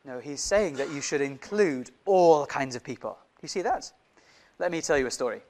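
A man's voice: fairly high pitch, lively intonation, moderate pace, darkish tone. A man who grew up in Indonesia, preaching a sermon in a conversational way, often putting questions to his listeners.

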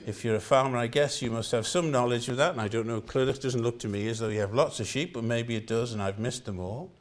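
A man's voice: medium pitch, fast. An older man from Wales with a Welsh accent, preaching a sermon in an earnest style and repeating phrases for emphasis.

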